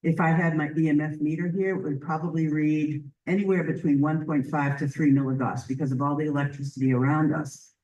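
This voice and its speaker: A woman leading a community group, giving an earnest, chatty presentation at a public meeting in a library, with a loud voice. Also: deep voice, steady tone, a little fast, hardly any pauses, distant mic, lo-fi sound. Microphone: handheld or stand microphone.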